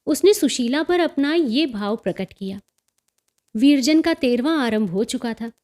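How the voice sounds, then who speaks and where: A woman reading a literary story as an audiobook narrator, in an expressive, enunciated way. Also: high-pitched, measured pace.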